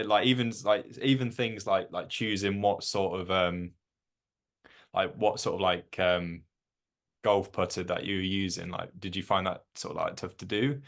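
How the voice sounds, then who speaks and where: A man's voice: sits low, steady tone, measured pace. A man hosting a casual interview, asking long rambling questions filled with "like". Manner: rambling questions full of "like" and restarts. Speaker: interview host.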